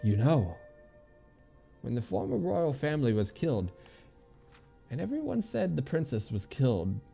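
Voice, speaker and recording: A man's voice: medium pitch, sing-song, normal speed, gravelly, dark tone, faint music behind, pitched above the performer's own voice. A man voicing a kindly, wistful old woman, a former nursemaid and healer, in a story read aloud. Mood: wistful, confiding.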